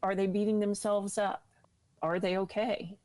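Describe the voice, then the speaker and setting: A woman's voice: mid-range voice, level tone, normal speed, a little mellow. A woman speaking earnestly and seriously as an interview guest, giving personal testimony.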